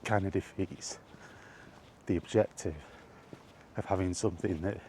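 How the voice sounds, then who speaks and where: A man giving a casual, halting opinion monologue to a vlog audience, rambling along in short phrases while out walking. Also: low voice, fairly even intonation, mellow, a little hiss or hum. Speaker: video blogger. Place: outdoors, walking.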